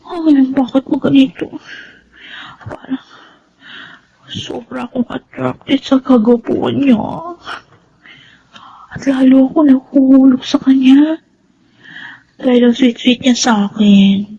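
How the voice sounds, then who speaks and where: A woman's voice: sits high, fairly even intonation, slow, gravelly, long pauses, mellow, slightly rough, exaggerated voice. An actress playing an earnest, hesitant young office woman in a radio drama, with a Filipino accent. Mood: troubled longing. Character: young office woman torn over attraction to brother-in-law. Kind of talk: Tagalog radio drama acting with narration. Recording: dull lo-fi audio with faint noise.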